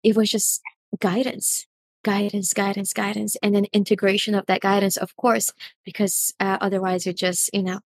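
A woman's voice: medium pitch, level tone, normal speed, a little hiss or hum. A woman from Brazil, a guest in an interview, talking in an earnest, searching way with many fillers and restarts. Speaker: guest, retreat facilitator. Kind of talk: reflective conversational interview.

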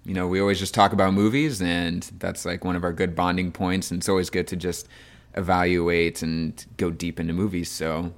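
A man's voice: low-pitched, level tone, a little fast, hardly any pauses. A man hosting a film podcast, talking casually with loose, informal phrasing. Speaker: podcast host.

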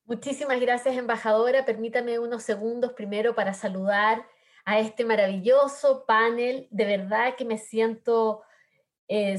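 A woman from southern Chile with a Chilean accent, speaking earnestly as a panelist, with frequent fillers and tag questions. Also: on the high side. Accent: Chilean Spanish. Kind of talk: panel discussion remarks.

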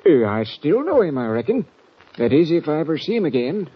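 Husky, high-voiced rural station agent. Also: male voice, sing-song, fairly quick. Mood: folksy, uneasy. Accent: rural American. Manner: folksy, hesitant, repeating himself. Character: folksy small-town train station ticket agent. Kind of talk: old-time radio western acting. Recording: dull, muffled vintage radio recording.

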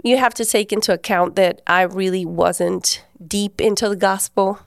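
A woman's voice: medium pitch, fairly even intonation, normal speed, gravelly, dry recording, clean studio sound. A woman, hosting a podcast and telling a personal faith story in an earnest, conversational way. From Guatemala. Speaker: podcast host.

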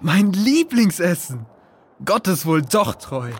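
A man's voice: sits high, sing-song, slow pace, a little hiss or hum, heavily acted. A man playing a sceptical, food-mad, lazy boy in a children's audio drama, blunt and doubting. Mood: eager, hungry.

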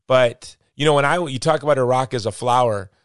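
A middle-aged man hosts a conversation in a dry, close sound. His pitch is average, and he talks reflectively, repeating phrases to drive them home. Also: brisk, no room sound. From United States. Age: late 40s to 50s. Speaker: interview host. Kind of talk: conversational interview.

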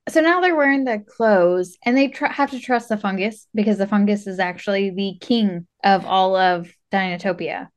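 A woman's voice: medium pitch, normal speed, few pauses. A woman co-hosting a podcast, talking casually about a film in a conversational way. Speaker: podcast co-host. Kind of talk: casual movie discussion.

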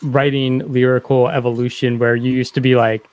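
A man's voice: medium pitch, flat delivery, normal speed, darkish tone, no echo. A man hosting a podcast, speaking in a casual, conversational style. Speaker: podcast host. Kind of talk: casual interviewing and outro read.

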